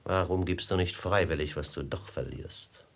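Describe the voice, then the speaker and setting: An actor playing a falsely courteous desert robber chief with a husky voice, unctuous and flowery, in a 1950s German radio drama. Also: male voice, sits low, normal speed. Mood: veiled menace. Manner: unctuous, flowery, mock-friendly, theatrical.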